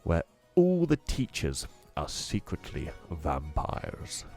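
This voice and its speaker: A man reading a children's book aloud in an expressive, animated way. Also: a bass voice, takes it slowly, faint music behind. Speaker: storyteller.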